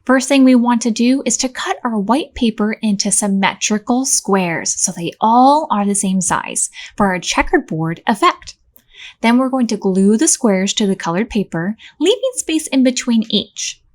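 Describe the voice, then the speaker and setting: High-voiced woman hosting preschool storytime. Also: animated, moderate pace. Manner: simple, child-directed phrasing with encouragement. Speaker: library storytime host. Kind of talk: preschool read-aloud and instruction.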